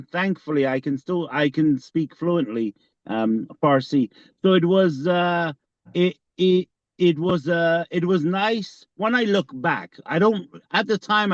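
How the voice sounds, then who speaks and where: A man, co-hosting a podcast in casual conversation, halting with many fillers and restarts. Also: a little high, no echo. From Iran. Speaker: podcast co-host. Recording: slightly dull lo-fi sound.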